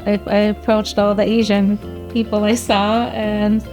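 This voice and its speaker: A woman in her thirties or early forties from New Jersey, reminiscing conversationally as an interviewee, in a halting way with small restarts. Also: mid-range voice, little pitch movement, a little mellow, soft background music, low-quality recording. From grew up in New Jersey. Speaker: law school alumna, interviewee.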